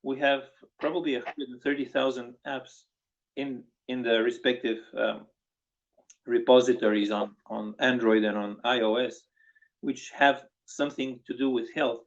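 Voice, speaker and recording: A man from Bulgaria speaks as a guest on an online panel, his voice gravelly with vocal fry. He explains in a measured, methodical way, often restarting his sentences. The sound is dull and lo-fi, like an internet call. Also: medium pitch, fairly even intonation.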